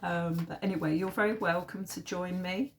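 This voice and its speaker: A woman with a slightly husky, nasal voice from a sore throat, giving an informal, halting tarot reading as host. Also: lowish voice, steady tone, a little hiss or hum.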